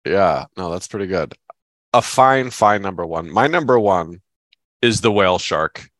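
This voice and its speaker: Stuffed-up man, low dry voice. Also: lively intonation, a little slow, a bit bright, no room sound. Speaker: podcast co-host. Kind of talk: casual chat.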